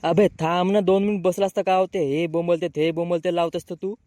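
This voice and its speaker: A man's voice: high-pitched, level tone, fairly quick, warm tone, close to an everyday voice. A man voicing a brash, grumbling young village man with a rural Marathi accent, bickering in slang in a comic cartoon. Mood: grumbling banter.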